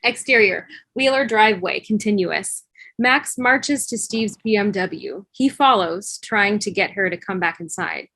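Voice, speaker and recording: A woman's voice: mid-range voice, lively intonation, unhurried, a bit bright, no echo, poor audio. A woman reading scripted stage directions as narration on a video call. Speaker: stage-direction reader in a table read.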